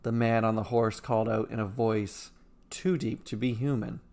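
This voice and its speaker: A man's voice: medium pitch, fairly even intonation, moderate pace, gravelly, a little mellow. Man narrating a fantasy tale, dramatic phrasing. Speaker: storyteller. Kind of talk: scripted fantasy story narration.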